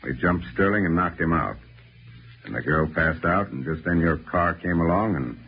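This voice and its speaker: An actor playing a tough, sardonic American detective, blunt and defiant, in 1940s radio drama style. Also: male voice, low-pitched, animated, normal speed, breathy edge, exaggerated voice. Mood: defiant, wry. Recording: dull, noisy old radio recording.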